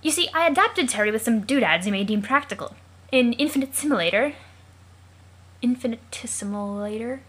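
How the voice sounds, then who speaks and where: A woman's voice: a little high, animated, fairly quick, a bit bright, breathy edge. A woman voicing a plucky, chummy young male adventurer with a put-on British accent and theatrical, old-fashioned wording. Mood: chummy, earnest.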